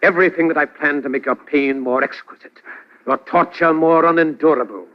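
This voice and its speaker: Male actor as sadistic mad scientist, high-pitched menace, theatrical radio play villain, muffled vintage recording. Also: fairly quick, natural voice. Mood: gloating. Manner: theatrical, menacing, savoring his words. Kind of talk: 1940s radio drama acting.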